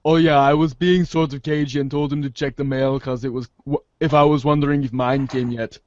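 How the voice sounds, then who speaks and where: A man playing a laid-back, too-cool teenage boy with a slangy, halting, nonchalant drawl in a fan audio drama. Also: mid-range voice, level tone, darkish tone, no room sound, natural voice. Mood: casual, hesitant. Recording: close, dull lo-fi sound.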